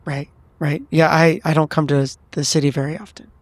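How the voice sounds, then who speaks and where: A man playing a tabletop game, improvising narration and character lines in a halting, stammering way. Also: on the high side, little pitch movement, no echo. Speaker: player in a tabletop game podcast. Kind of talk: improvised storytelling, acting out a character.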